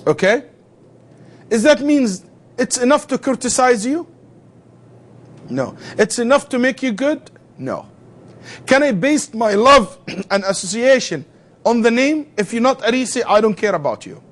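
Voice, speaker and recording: Animated high-voiced male lecturer. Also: a little slow, long pauses. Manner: animated, asks the class rhetorical questions; joking asides with students. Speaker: lecturer teaching theology. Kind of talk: classroom lecture. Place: classroom.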